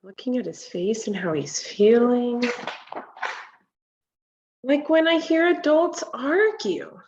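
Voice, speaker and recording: A woman in her thirties reads a children's picture book at a slow, deliberate pace. Her voice sits a bit high, and she speaks like an instructor guiding young listeners. The sound is a little muffled, as if from a device microphone in a home kitchen. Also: a little mellow, husky. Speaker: read-aloud presenter for children. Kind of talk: children's picture book read-aloud with commentary.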